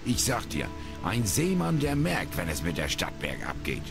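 A man's voice: low-pitched, wildly swooping pitch, normal speed, vocal fry, full character voice. An actor playing a grumbling old retired sailor and lighthouse keeper in a video game, with folksy seaman's talk. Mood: indignant. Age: older. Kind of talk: video game character dialogue. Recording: music under the voice.

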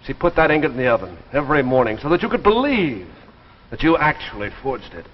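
A man's voice: mid-range voice, big pitch swings, fast, lightly acted. An actor playing an earnest, firm younger man, grave and formal, in a 1950s television drama. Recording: muffled, echoing, noisy 1950s TV soundtrack.

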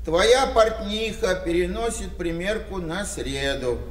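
An older man acting a meek, plain-spoken, slightly muddled old village father in a Soviet radio play, with halting delivery. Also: high-pitched, animated. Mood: dutiful, literal. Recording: roomy, distant, faintly noisy radio-play recording.

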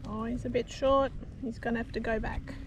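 A woman's voice: on the high side, fairly even intonation, a little fast. A middle-aged woman narrating a casual fishing vlog outdoors on a shoreline, cheerful and encouraging, filmed on an action camera. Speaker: fishing vlogger. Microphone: action camera, often held at arm's length. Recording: outdoor recording with clear wind and water noise.

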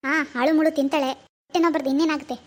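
A woman playing a fast-talking, scolding mother-in-law. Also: high voice, fairly even intonation, a little mellow, close to an everyday voice. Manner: scolding, exasperated. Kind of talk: family drama acting.

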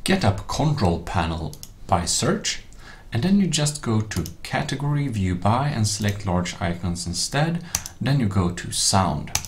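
A man's voice: lowish voice, big pitch swings, unhurried, a little hiss or hum. A man narrating a tech tutorial as its host, in slightly awkward non-native English phrasing with some off-the-cuff asides.

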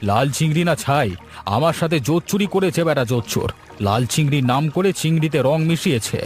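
A man's voice: fairly high pitch, fast, faint music behind, lightly acted. A man voicing an indignant village headman. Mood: angry. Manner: scolding, indignant. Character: angry, proud middle-aged village headman. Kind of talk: Bengali cartoon voice acting.

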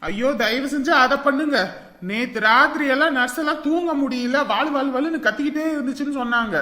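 A performer plays a bossy doctor fed up with a noisy patient in a comic skit. The voice is bright and forceful. The delivery is scolding and sarcastic. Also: high voice, fast. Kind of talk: comic family skit acting.

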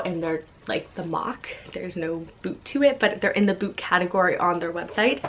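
A woman's voice: mid-range voice, a little hiss or hum, rough recording. Bubbly chatty young woman vlogger. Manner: bubbly and chatty, many fillers like "like" and "so cute". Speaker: fashion vlogger. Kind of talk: casual fashion haul talk. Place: pink-decorated bedroom, indoors.

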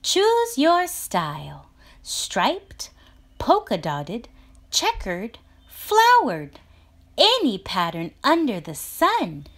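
Eager little chameleon for a storybook, female reader: raised pitch, singsong, very animated. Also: very slow, heavily acted. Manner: loud singsong calling. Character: eager little chameleon who longs to be noticed.

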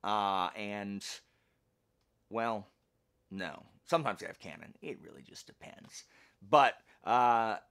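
A man's voice: lowish voice, slow, long pauses, smooth. A man hosting a book channel, chatting casually and emphatically in colloquial speech with self-deprecating humour. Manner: animated, emphatic. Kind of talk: casual chatty vlog talk about books.

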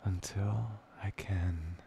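A man performing an intimate boyfriend ASMR roleplay, murmuring softly and tenderly, breathy and often dropping to a whisper. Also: deep voice, fairly even intonation, noisy background. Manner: soft, tender, murmuring with long pauses.

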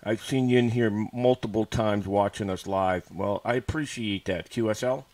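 A man's voice: fairly low pitch, moderate pace, a little mellow, dry recording. A man from the USA making casual amateur radio contacts, using callsigns and radio phrases and often repeating himself. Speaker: amateur radio operator and livestream host. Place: indoors at a computer. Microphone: studio microphone connected to PC.

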